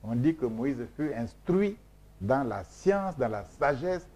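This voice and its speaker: A man's voice: mid-range voice, big pitch swings, slow, warm tone. A man whose first language is Mboshi, from Congo, explaining his research in an interview in an emphatic, repetitive, lecturing way with frequent hesitations. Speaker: scholar being interviewed.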